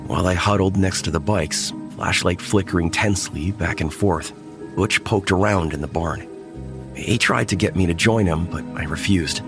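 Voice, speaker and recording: Deep-voiced man narrating horror. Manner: tense first-person storytelling. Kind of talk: horror audio drama narration. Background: music.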